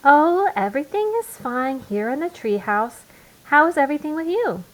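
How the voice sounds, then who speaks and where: A woman voicing a gentle, hurt mother bear in a storybook, speaking earnestly and with emphasis. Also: sits high, big pitch swings, moderate pace, pitched above the performer's own voice, rough recording. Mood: polite, cheerful.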